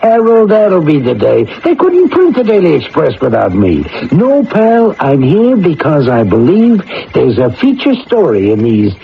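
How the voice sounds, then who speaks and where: High, gravelly, wisecracking reporter. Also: male voice, wildly swooping pitch, a little slow, few pauses, full character voice. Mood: teasing. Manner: breezy, needling. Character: wisecracking, teasing male newspaper reporter. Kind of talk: 1980 radio drama acting. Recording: dull lo-fi 1980 radio recording, faint noise.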